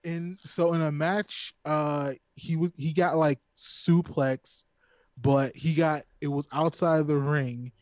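A man's voice: a little high, steady tone, slow pace, darkish tone, no echo. A man co-hosting a podcast, speaking bluntly and emphatically with plenty of swearing in a casual chat. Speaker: podcast co-host.

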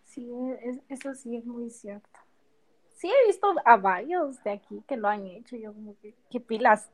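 A young woman from Guatemala, giving brief, soft-spoken replies in a casual live chat. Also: a little high, animated, moderate pace, low-quality recording.

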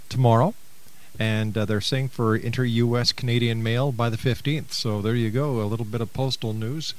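A man hosting a radio talk show, speaking in a chatty, folksy way as he runs through his show opening. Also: mid-range voice.

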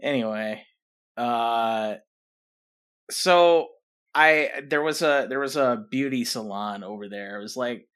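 A man's voice: medium pitch, slow pace, fairly bright tone, clean tone. A young man co-hosting a podcast, telling a rambling story in casual chat, full of fillers and swearing. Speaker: podcast co-host.

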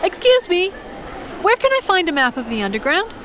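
An actress playing a genteel, naive, soft-hearted lady in an audio drama, speaking in an expressive, proper, earnest manner. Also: very high voice, brisk, background noise. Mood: polite.

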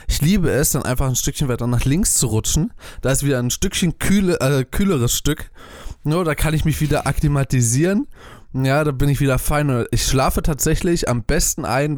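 Man, casual chat, hesitant rambling, mid pitch, dry sound. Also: a little mellow, dry recording. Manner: rambling, hesitant with many fillers. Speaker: podcast co-host. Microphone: webcam and desk mic at home.

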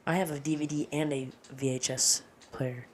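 Young woman with a low, deep voice. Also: steady tone, normal speed, a little hiss or hum. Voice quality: deeper voice than expected. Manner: profane, joking, laughs often. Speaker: podcast guest. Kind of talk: casual chat.

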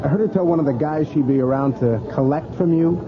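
An actor playing a gossipy, offhand bar regular in a radio drama. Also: male voice, on the high side, quick pace, crowd noise, close to an everyday voice. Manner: chatty, offhand. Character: gossipy bar regular who has seen it all. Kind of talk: 1980s radio drama acting. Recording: dull lo-fi radio broadcast in a noisy bar.

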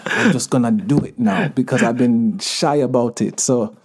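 A man with a Jamaican accent talking as a podcast guest, laughing often, slipping into patois and slowing down to stress key words. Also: mid-range voice, lively intonation, vocal fry. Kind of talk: casual podcast conversation.

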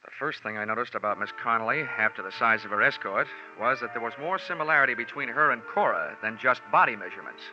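A man's voice: mid-range voice, expressive, fast, few pauses, close to an everyday voice. An actor playing a wry, flippant male insurance investigator in 1940s radio detective style, speaking with a sardonic manner. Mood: wry narration. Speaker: lead actor and narrator. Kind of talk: 1940s radio detective acting, wry first-person narration. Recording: dull vintage 1940s radio recording, faint music.

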